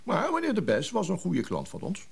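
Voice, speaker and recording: An actor playing a discreet, formal financial go-between, hesitant and polite, in a naturalistic radio drama. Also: male voice, sits high, huge pitch swings, a little fast, creaky, a little hiss or hum, heavily acted. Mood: obliging, reassuring.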